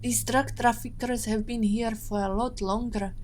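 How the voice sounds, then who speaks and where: A woman playing a composed, guarded captive agent in an audio drama, with measured and formal phrasing. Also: on the high side, steady tone, a little mellow, a little hiss or hum, lightly acted. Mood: grave, explaining.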